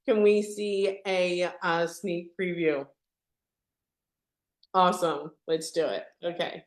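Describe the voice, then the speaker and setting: A woman's voice: lowish voice, steady tone, slow pace. A woman hosting an online product webinar with an upbeat, chatty sales style, often tagging her points with "right?". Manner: chatty, peppered with "right?" tags. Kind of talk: upbeat live sales presentation. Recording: echoey, roomy sound.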